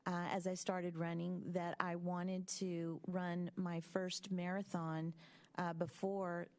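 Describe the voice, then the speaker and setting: A woman in her fifties talks in a low, even voice with little rise and fall. She reflects on her own experience at a school board meeting, pausing often with ums. Her delivery is measured and thoughtful. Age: 50s.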